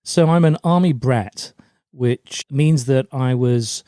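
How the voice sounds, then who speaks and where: Middle-aged man, mellow, dry close sound. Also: mid-range voice, slow, no echo. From Germany, in a British forces family. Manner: chatty, anecdotal storytelling. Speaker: podcast guest, LinkedIn brand coach. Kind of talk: conversational interview answers.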